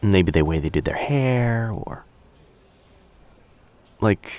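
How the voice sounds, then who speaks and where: A man playing a shy, sweetly awkward young stranger in a boyfriend ASMR roleplay, speaking softly and hesitantly in an intimate, rambling way. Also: on the low side, lively intonation, slow. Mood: nervous, musing. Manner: hesitant, rambling, soft-spoken with trailing phrases. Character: very shy young male stranger, sweetly awkward, overthinking coffee-shop crush.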